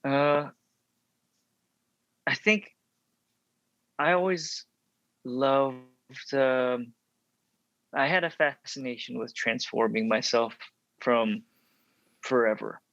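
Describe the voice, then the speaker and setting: Soft-spoken reflective man, dry dull sound. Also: medium pitch, very slow, long pauses, dry recording. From Texas. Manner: reflective, many ums and restarts. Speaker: actor, podcast guest. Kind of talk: casual interview storytelling.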